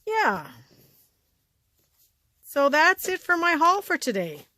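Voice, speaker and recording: A woman with a scratchy voice chatting casually as she shows off a thrift haul, often trailing off into quiet asides. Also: high voice, wildly swooping pitch, slow pace, dry recording. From Canada. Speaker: vlogger showing a thrift haul. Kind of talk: casual show-and-tell chat.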